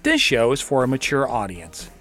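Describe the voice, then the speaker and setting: Male promo announcer, punchy, bright and animated, faint music underneath. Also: mid-range voice, normal speed.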